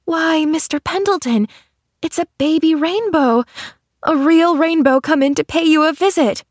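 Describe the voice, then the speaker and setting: Woman acting a gushing, high-pitched eager little girl. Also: a little slow, no echo, clean studio sound. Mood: delighted awe. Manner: gushing, exclamatory, breathless wonder. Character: eager, cheerful, affectionate young orphan girl. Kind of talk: storybook character acting.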